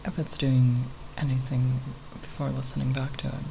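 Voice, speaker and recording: Soft asmr voice, super creaky n breathy, slow hesitant rambling, close mic w loud hiss. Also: mid-range voice, level tone, poor audio. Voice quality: soft, breathy, partly whispered. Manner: hesitant, halting, with long pauses. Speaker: ASMR video creator. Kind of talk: ASMR, unscripted nerdy ramble.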